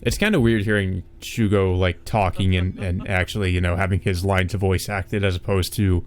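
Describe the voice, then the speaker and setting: A man's voice: sits low, flat delivery, moderate pace. A man hosting a let's play, reading visual novel narration aloud and commenting casually.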